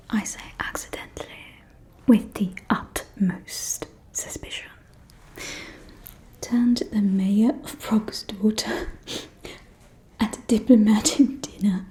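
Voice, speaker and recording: A woman playing a catty, gossipy aristocratic vampire socialite in an ASMR roleplay, speaking in a soft, breathy, conspiratorial whisper with drawn-out, teasing delivery. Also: mid-range voice, steady tone, natural voice, rough recording. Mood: scandalized gossip.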